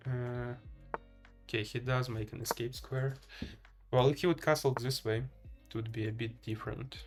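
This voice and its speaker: A man's voice: medium pitch, fairly even intonation, moderate pace, music in the background. A man from Ukraine with a Ukrainian accent, giving casual live game commentary and chatting to viewers in a hesitant, thinking-aloud way. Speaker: live streamer playing chess.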